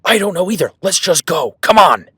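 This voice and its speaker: A man playing a gruff cowboy hunter in an audio roleplay, whispering while stalking and swearing and giving orders in a crisis. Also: high voice, sing-song, normal speed, a bit bright, no room sound, studio quality, exaggerated voice. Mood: urgent, alarmed. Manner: swearing, commanding, urgent. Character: gruff rugged cowboy hunter tracking a beast. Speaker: ranch hand tracking a beast.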